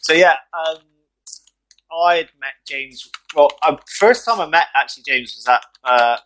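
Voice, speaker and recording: A man's voice: fairly high pitch, moderate pace, a little hiss or hum. A man co-hosting a podcast, telling jokey anecdotes in casual chat. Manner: jokey, animated storyteller. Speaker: co-host, mental health support worker.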